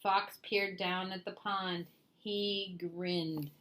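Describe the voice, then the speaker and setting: A woman reading a children's picture book aloud as storyteller, theatrical and deliberate in her delivery. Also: mid-range voice, steady tone, a bit bright. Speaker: storyteller, read-aloud host.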